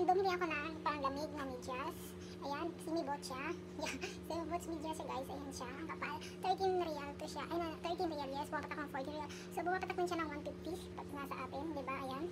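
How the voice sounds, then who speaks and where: A woman's voice: high voice, heavy background noise. A young woman with a Filipino accent chats casually as a vlogger, mixing in English words, on an amateur phone video. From Philippines. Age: young adult. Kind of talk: casual chatty shopping haul vlog. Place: supermarket aisles, later a small room at home.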